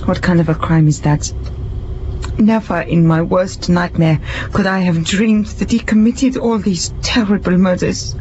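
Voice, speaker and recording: A woman's voice: fairly low pitch, unhurried, darkish tone, close to an everyday voice. A scheming adventuress voiced by an actress in an audio drama, posing as a wronged expectant mother. Her delivery is theatrical and self-justifying, with a venomous edge. The sound is slightly dull, with a noisy background. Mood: wounded innocence. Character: cunning pregnant adventuress, feigning wronged innocence, venomous when crossed.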